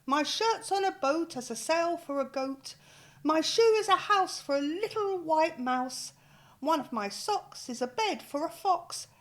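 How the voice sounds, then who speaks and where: A woman's voice: extremely high pitch, expressive, a little slow, fairly bright tone, pitched above the performer's own voice, exaggerated voice. A woman doing a cheerful sing-song giant. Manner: sing-song rhyming chant. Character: kind, cheerful male giant.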